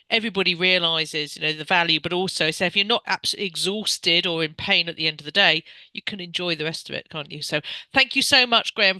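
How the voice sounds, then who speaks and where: A woman's voice: lowish voice, sharp tone, no room sound, rough recording. A woman hosting a webinar over a video call, gracious as she thanks speakers and hands over. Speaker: webinar host.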